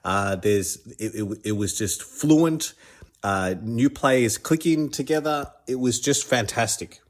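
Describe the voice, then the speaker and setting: A man's voice: medium pitch, slow pace. A man hosting his own podcast, a little stuffed up with a cold, talking enthusiastically with many restarts and repeated words. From Australia. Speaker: podcast host. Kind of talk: casual solo podcast commentary.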